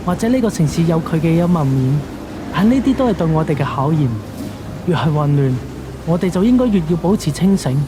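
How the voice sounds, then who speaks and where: A man's voice: a little high, expressive, a little fast, close to an everyday voice. Man acting righteous Cantonese investigator, music behind. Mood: stern. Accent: Hong Kong Cantonese. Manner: stern, impassioned, moralizing. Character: earnest, righteous police investigator confronting a killer. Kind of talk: radio drama acting.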